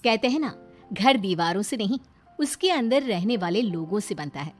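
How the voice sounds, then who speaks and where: A woman's voice: medium pitch, lively intonation, normal speed, soft background music. A woman reading scripted, dramatic narration for a moral story.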